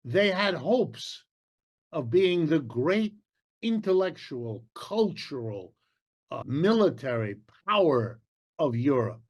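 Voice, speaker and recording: Slow, deliberate German-born male lecturer. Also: on the high side, sing-song. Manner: slow, deliberate, emphatic phrasing with pauses and fillers. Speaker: history lecturer. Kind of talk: history lecture.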